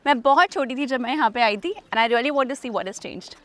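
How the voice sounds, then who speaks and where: A woman's voice: sits high, expressive, moderate pace, a little hiss or hum. A young woman chatting animatedly on a road trip and mixing in English phrases, filmed outdoors on a camera at arm's length. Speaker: travel vlogger. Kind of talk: casual travel vlog chat. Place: outdoors and in a car.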